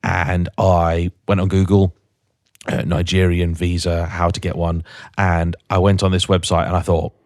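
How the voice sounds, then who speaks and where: A man's voice: low voice, little pitch movement, a little slow, darkish tone, dry recording, studio quality. A British man co-hosting a podcast in casual, chatty storytelling style, acting out bits of dialogue. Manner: chatty, quotes imagined dialogue. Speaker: podcast co-host. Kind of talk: casual chat, anecdotal storytelling.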